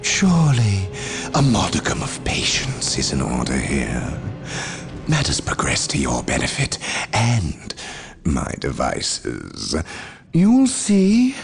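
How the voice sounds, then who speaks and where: A man voicing a scheming, gloating dark villain in a video game, menacing and sneering, with a gravelly, theatrical delivery. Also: rumbling low, huge pitch swings, slow pace, faint music behind, full character voice. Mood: oily, placating.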